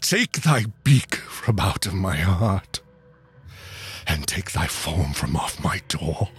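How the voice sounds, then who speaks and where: A man's voice: deep voice, very animated, slow pace, slight background noise, heavily acted. A man playing a grief-stricken, haunted gothic narrator in a dramatized poem, theatrical and intense. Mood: furious. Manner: theatrical, intense, swooping from hush to shriek. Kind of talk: dramatized poetry reading.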